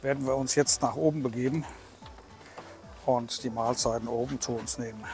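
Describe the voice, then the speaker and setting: A man's voice: medium pitch, steady tone, moderate pace, over music. A man in his sixties from Germany chatting and explaining as he hosts a casual travel vlog. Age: 60s. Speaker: vlog host.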